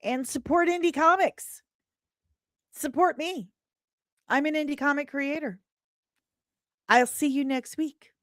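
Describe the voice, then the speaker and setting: A woman's voice: high-pitched, unhurried, long pauses, dry recording, clean studio sound. A woman hosting a podcast livestream, talking in a casual, chatty and playful way as she addresses her audience. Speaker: podcast host and indie comic creator. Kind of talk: casual livestream sign-off and promotion.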